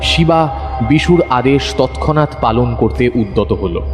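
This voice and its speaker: A man narrating a detective audio story in a dramatic, suspenseful, expressive scripted style. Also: medium pitch, measured pace. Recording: long reverb, music under narration.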